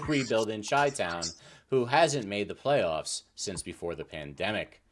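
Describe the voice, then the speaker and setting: A man on a sports talk show delivering commentary and updates as a panelist. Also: mid-range voice.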